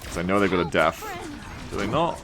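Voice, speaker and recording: Low-voiced Australian man streaming over game music. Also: animated, a little fast. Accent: mixed Australian. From Sydney, Australia. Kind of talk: casual live gaming commentary. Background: video game music and effects.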